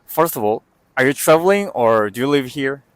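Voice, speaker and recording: A man interviewing people on the street, asking brief questions and giving soft acknowledgments. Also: mid-range voice, animated, moderate pace, no room sound. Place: outdoors on a street.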